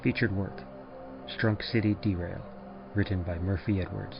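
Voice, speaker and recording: A man reading scripted podcast credits as narrator, in a measured, formal announcing manner. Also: low-pitched, steady tone, creaky. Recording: dull lo-fi audio over music. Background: music.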